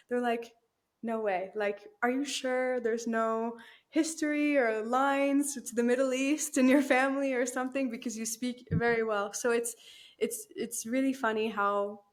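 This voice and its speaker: A young woman, chatting as a guest in an interview, laughing easily and using lots of fillers. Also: fairly high pitch. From Canada. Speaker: guest, new team member. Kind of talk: casual interview chat.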